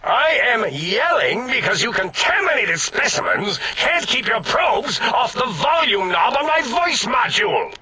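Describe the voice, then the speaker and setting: Bombastic, high-pitched robot scientist. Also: male voice, big pitch swings, measured pace, hardly any pauses, crowd noise, exaggerated voice. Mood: exasperated, yelling. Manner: bombastic, exasperated, scolding. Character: irascible robotic brain scientist, pompous leader of bickering colleagues. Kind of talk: video game voice acting, retro sci-fi comedy.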